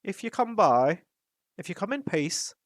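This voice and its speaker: A man's voice: sits high, sing-song, measured pace, dry recording, clean studio sound, voice pushed up. A man voicing a wary, stern guard captain who speaks in a formal, guarded way.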